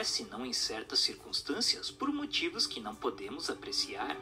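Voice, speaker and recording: A man delivers scripted narration in a bright, forceful voice that sits a little high. His intonation moves widely while his phrasing stays formal and instructive. Faint music plays underneath. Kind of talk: scripted narration, reading an expository text.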